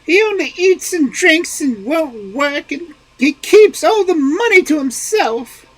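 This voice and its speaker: A voice performing a nagging old peasant wife in a storybook reading, with a shrill, exclamatory manner. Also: female voice, expressive, takes it slowly, dry recording, low-quality recording. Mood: pleading.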